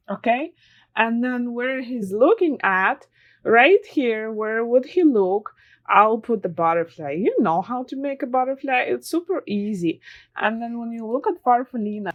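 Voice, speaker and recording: Slow, bright-voiced woman teaching children. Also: mid-range voice. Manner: simple step-by-step explaining to children. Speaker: children's story reader and drawing teacher. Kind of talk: read-aloud and informal craft lesson for children.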